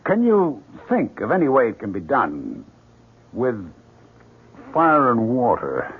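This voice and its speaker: Husky, fixated, evasive husband. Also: male voice, medium pitch, wildly swooping pitch, exaggerated voice. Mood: preoccupied. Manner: distracted, halting, intent. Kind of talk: 1970s radio drama acting. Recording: muffled old radio broadcast.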